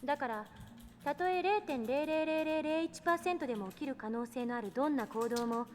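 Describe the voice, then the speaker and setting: A woman's voice: high-pitched, expressive, racing, faint music behind. A woman voicing a composed, intellectual eighteen-year-old female scientist in a visual novel game, lecturing precisely and assertively. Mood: serious, insistent.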